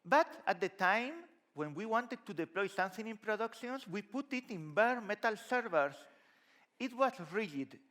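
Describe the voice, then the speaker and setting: A middle-aged man from Spain with a Spanish accent, giving a lively conference talk on a large stage with a headset mic. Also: sits high, normal speed. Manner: lively, conversational, gesturing while walking the stage. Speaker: conference speaker.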